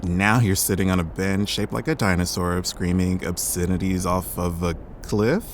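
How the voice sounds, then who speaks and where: A gruff, blunt lab doctor. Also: male voice, sits low, steady tone, moderate pace, slight background noise. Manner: blunt, dry, matter-of-fact. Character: blunt middle-aged male lab doctor, gruff but caring. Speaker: scientist character.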